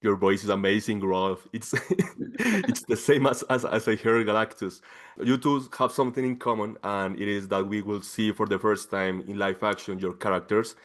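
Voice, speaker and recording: Guy interviewing in english, kinda low voice, excited gushing questions. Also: normal speed. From Colombia. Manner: eager, effusive questions. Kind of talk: press junket interview.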